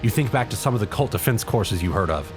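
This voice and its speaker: A man's voice: on the low side, animated, fast, soft background music. A man narrating a comedic story in the second person, as a dramatic reader.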